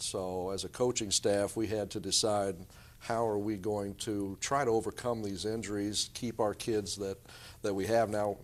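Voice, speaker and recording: A man's voice: on the low side, fairly bright tone. A middle-aged man answering interview questions conversationally as a basketball coach.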